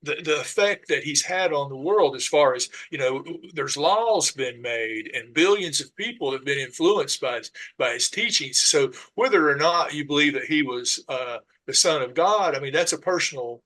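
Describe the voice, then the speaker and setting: A man, a podcast guest talking casually and haltingly, with many "uh"s and "you know"s. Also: fairly high pitch, expressive, pushed and bright, low-quality recording. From Southern US. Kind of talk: casual conversation.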